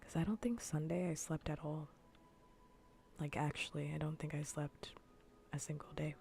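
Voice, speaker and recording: Soft, whispery low-voiced woman streamer. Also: steady tone, moderate pace, creaky, slight background noise. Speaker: livestream host. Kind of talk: casual ASMR stream chat.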